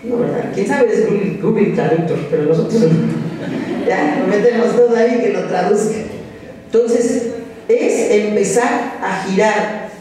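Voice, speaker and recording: A man's voice: high voice, a little slow, dark tone, slight background noise. Mexican man lecturing in an echoing hall. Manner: direct, exhorting, addresses students as tú. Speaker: psychology lecturer. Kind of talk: conference talk to students. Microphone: podium microphone. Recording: roomy echoing hall from a distance.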